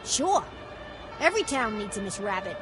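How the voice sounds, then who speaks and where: A woman's voice: mid-range voice, big pitch swings, moderate pace, over music, close to an everyday voice. A woman voicing a friendly, confident rabbit taxi driver and tour guide in a children's cartoon game, breezy and reassuring. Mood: upbeat.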